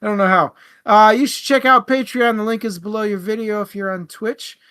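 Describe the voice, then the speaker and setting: A man with a fairly high, very bright and forward voice co-hosts a book show. He talks in a casual, informal style, jokey and loose with his language. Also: fairly even intonation, a little fast, smooth. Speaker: co-host of a book discussion show. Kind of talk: casual book chat with plugs.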